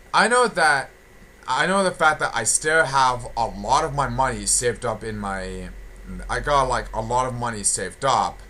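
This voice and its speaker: A man's voice: medium pitch, pushed and bright. A young man from Britain talking through a rambling, repetitive audio log, full of restarts and fillers. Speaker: channel host.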